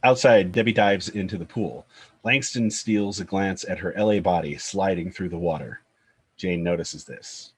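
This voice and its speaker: Guy reading script stage directions, kinda deep n husky narrator. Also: moderate pace.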